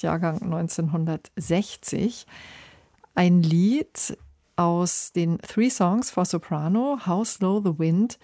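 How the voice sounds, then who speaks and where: A woman's voice: on the low side, a little mellow, no echo, clean studio sound. A woman hosting a music interview, asking questions in an articulate, composed way. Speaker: radio interviewer and music host.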